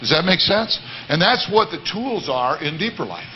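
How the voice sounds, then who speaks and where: Earnest baritone man teaching a congregation. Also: big pitch swings, normal speed, lo-fi sound, a little hiss or hum. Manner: earnest, repetitive, many restarts. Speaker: worship leader. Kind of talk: sermon-style teaching talk. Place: church service.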